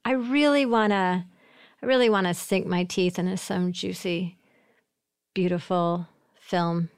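Clear, low-pitched woman chatting playfully. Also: unhurried, no room sound, studio quality. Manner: playful, expressive, profane. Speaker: podcast guest, actress. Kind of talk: casual comedic chat.

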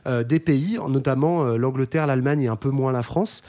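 A man's voice: mid-range voice, mellow. A man co-hosting a casual discussion podcast, explaining at length with frequent fillers and restarts. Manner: quick, with many fillers and restarts.

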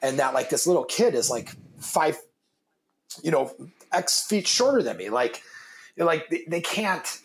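A man in his thirties with a very deep voice, talking casually and emphatically in an interview, swearing freely and repeating words as he starts his thoughts. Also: big pitch swings, measured pace, a little hiss or hum. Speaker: guest, business founder and father.

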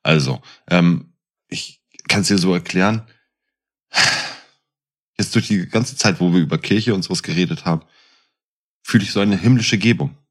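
A man with a fairly low voice co-hosting a podcast. He banters in casual slang and keeps a playful manner. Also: normal speed, long pauses. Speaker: podcast co-host.

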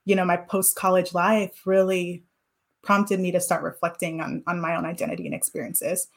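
A woman's voice: lowish voice, little pitch movement, moderate pace. A woman in her thirties, a guest in an interview, talking thoughtfully about her past with many fillers. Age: 30s. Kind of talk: reflective interview talk.

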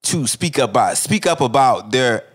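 A man's voice: medium pitch, lively intonation, a little slow, faint music behind. A young man co-hosting a podcast in loose, profane casual chat, returning again and again to "you feel me". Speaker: podcast co-host.

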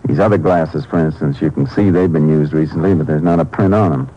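An actor playing a calm, methodical Texas Ranger in a 1950s radio drama. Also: male voice, a bass voice, brisk, highs cut off. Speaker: lead ranger. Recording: noisy old radio broadcast.

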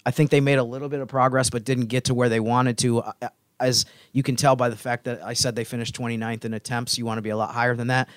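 A man's voice: medium pitch, flat delivery, fast talker, no room sound, studio quality. A man on a podcast panel giving conversational sports analysis in an explanatory, reasoned manner.

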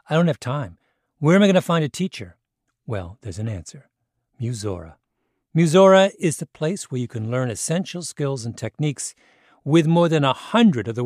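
A man's voice: medium pitch, no echo. Dry-sounding man reading an ad. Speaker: ad announcer. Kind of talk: scripted conversational advertisement.

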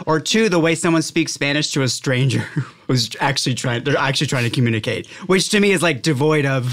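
A man from Miami, talking in a relaxed, supportive way as a podcast co-host in a casual group discussion. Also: medium pitch, a little fast.

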